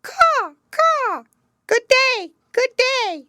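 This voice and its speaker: A woman's voice: very high-pitched, very animated, drawn-out words, sharp tone, no echo, clean studio sound, pitched above the performer's own voice, exaggerated voice. A woman voicing a grave, well-meaning talking raven in a storybook, earnestly explaining things. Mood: cawing greeting. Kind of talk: storybook character voice.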